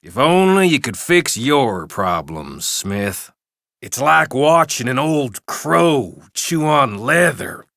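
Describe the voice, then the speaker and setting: A contemptuous Old West outlaw for a video game. His taunts are unhurried and swing widely in pitch, with a forceful, cutting tone and a dry, close sound. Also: sing-song, vocal fry, dry recording, heavily acted. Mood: mocking. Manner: mocking, insulting, drawn-out taunts. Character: mean, sneering male Old West gang outlaw bullying his companions. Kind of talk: video game character barks.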